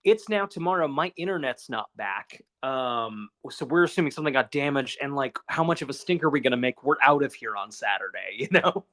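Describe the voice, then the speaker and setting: A man's voice: mid-range voice, animated, a little fast, hardly any pauses, bright, forceful tone. A man co-hosting a casual podcast over a phone call, talking in a rambling, casually profane way. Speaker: podcast co-host.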